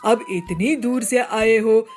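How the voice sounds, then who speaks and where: A woman's voice: fairly high pitch, slow pace, darkish tone, soft background music. A woman voicing a stingy, scheming male cartoon bird who talks in a bossy, self-satisfied, wheedling way. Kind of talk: cartoon voice acting.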